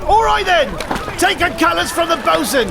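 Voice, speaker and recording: A gruff old-time sea captain in an audio drama, high-strained and gravelly. He speaks in archaic nautical phrases like one used to command, over a loud bed of sea and crew noise. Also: lively intonation, normal speed, crowd noise, exaggerated voice. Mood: urgent, commanding. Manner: archaic nautical talk, barking orders.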